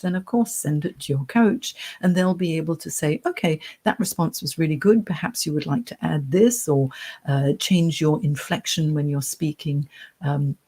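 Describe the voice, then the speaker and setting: Soft-voiced woman career coach, husky and low. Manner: chatty, reassuring, talks through clicks. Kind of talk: screen-share tutorial.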